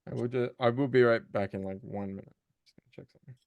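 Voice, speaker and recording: A man's voice: medium pitch, fairly even intonation, fast talker, gravelly, narrow, tinny audio. A man talking casually as a guest in an online chat, tentative and often restarting his phrases. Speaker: audiobook voice actor, guest.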